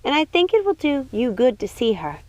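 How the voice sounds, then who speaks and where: A woman voicing a gentle, sweet young woman in an audiobook, speaking in an earnest, reassuring manner. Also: sits high, very animated, a little fast, dry recording, voice pushed up. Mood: soothing, reassuring. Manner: soft-hearted, earnest, reassuring. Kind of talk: audiobook character voice.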